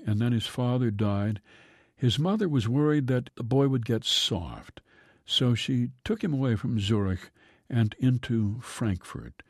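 Male radio host, low husky voice, dry studio sound, unhurried scripted reading. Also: no echo. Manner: calm, measured reading with slow drawn-out line endings.

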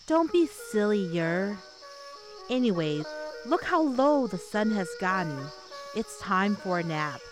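A woman's voice: mid-range voice, animated, slow, music in the background. A woman voicing a mother in a storybook, soothing and mildly scolding as if to her young child. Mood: dismissive, soothing. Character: gentle, dismissive mother of a young girl.

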